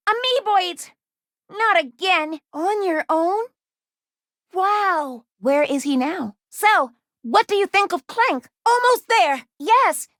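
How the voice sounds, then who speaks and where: A woman voicing a gentle, anxious young female robot in a video game, speaking formally and precisely with careful diction. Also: extremely high pitch, no room sound, studio quality, heavily acted. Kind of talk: video game character voice lines.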